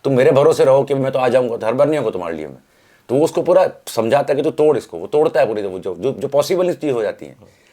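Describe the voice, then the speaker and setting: Guy chatting as a guest, talks really fast, mid pitch, asks his own questions and answers them. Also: big pitch swings, dark tone. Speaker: interview guest from the film industry. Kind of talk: animated storytelling in casual conversation.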